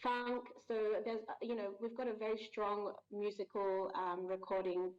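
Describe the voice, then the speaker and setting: A woman being interviewed as a voice actor, chatty and quick to laugh, with lots of ums. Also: mid-range voice, level tone, lo-fi sound. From Australia. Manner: warm, chatty, laughs easily, many ums. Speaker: guest voice actor. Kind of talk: casual interview chat.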